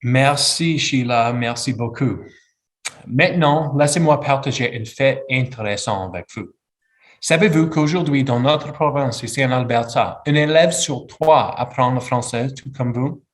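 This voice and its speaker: A man's voice: medium pitch, a little slow. A man hosting a ceremony, formally introducing each speaker. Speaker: event host. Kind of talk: ceremony emcee.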